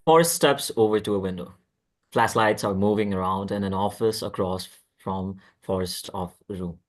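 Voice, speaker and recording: Lowish-voiced man reading stage directions. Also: moderate pace. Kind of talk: scripted narration at a table read.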